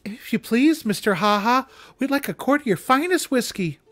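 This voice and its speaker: A timid, elderly Southern lady from a storybook, acted out by a male reader. The voice is pitched high and softly hesitant, with careful politeness, in a dry close recording. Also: lively intonation, moderate pace, dry recording, faint music behind. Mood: timid, polite.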